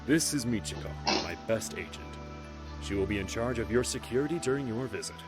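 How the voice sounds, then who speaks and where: A man's voice: mid-range voice, a little fast, over loud music, lightly acted. An actor playing a solemn, formal male security commander in a visual novel game, with a grave manner.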